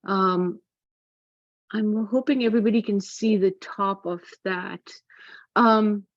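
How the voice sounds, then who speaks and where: Brief: middle-aged woman, academic speaker on a video call, halting delivery with frequent fillers, dull dry audio. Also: medium pitch, fairly even intonation, dry recording. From Pakistan. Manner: hesitant, many fillers and restarts. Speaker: academic lecturer. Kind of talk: online academic talk. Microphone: computer mic on a video call.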